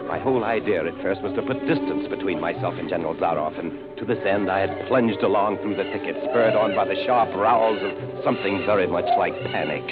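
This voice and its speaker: A man's voice: low-pitched, natural voice. An actor playing a determined, hunted big-game hunter, telling his story earnestly and dramatically in vintage radio style. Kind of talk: 1940s radio acting. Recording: tinny old radio broadcast, music underneath.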